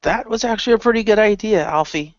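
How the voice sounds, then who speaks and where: A man's voice: sits high, quick pace, no echo, highs cut off, voice pushed up. A man playing a cautious, reluctant young hero with casual slangy talk in an amateur radio play. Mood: wary, casual.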